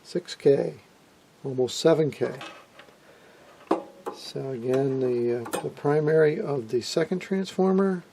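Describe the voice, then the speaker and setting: A man's voice: fairly high pitch, slow, gravelly, pauses often, mellow, slight background noise. A middle-aged man giving a casual, matter-of-fact hands-on demonstration as a hobbyist presenter, explaining as he works. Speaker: vintage radio hobbyist presenter. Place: indoor workshop, off camera at a workbench.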